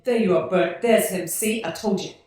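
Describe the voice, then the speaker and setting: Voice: medium pitch, normal speed, a little mellow, natural voice. An actor playing a streetwise, suspicious American male taxi driver in a radio play, blunt and colloquial. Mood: baffled. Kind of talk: 1940s-style radio play acting. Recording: echoey, distant microphone, faint music.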